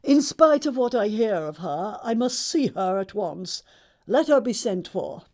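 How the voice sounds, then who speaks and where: Woman acting an imperious, slow-speaking aristocratic English lady. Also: mid-range voice, very animated, darkish tone. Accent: upper-class English. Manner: pompous, commanding, emphatic enunciation. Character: imperious, snobbish aristocratic older lady, haughty and disapproving. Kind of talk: stage comedy audiobook acting.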